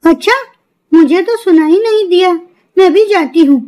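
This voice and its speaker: A woman voicing a meek, kind young crow bride in a cartoon story, gentle and deferential. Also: very high-pitched, normal speed. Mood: obliging. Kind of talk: Hindi cartoon story acting.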